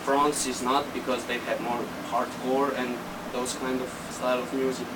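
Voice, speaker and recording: A man in his twenties with a Slovenian accent answers interview questions casually, in halting, simple non-native English. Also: medium pitch, little pitch movement. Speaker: interview guest, techno DJ and producer. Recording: distant mic, loud background hum.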